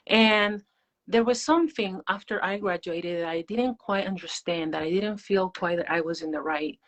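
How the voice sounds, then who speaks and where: A woman's voice: mid-range voice, normal speed. A woman from Peru with a Peruvian Spanish accent, answering reflectively as a conversational interview guest. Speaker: interview guest, meditation teacher.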